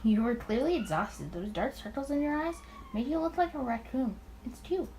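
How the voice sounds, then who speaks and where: A woman's voice: a little high, animated, normal speed, a little mellow, a little hiss or hum, natural voice, low-quality recording. A woman voicing a teasing, affectionate young male detective partner in a visual novel. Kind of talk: visual novel character dialogue.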